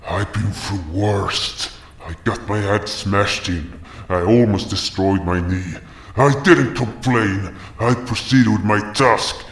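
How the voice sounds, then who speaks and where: A man's voice: lowish voice, slow, roomy sound, rough recording. A man voicing a bitter, vengeful beast guardian in an audio drama, scornful and commanding.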